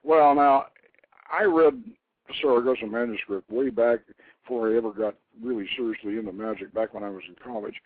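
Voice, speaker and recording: An older man hosting a radio show, reading aloud and commenting informally in a deliberate storyteller's manner. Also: mid-range voice, no room sound. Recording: narrow phone-like line, dry.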